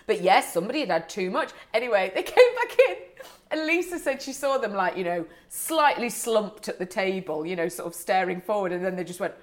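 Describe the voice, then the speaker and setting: Animated British woman, lively swooping pitch. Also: fairly quick. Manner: animated, gossipy storytelling. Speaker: podcast host. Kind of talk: casual comic chat between friends.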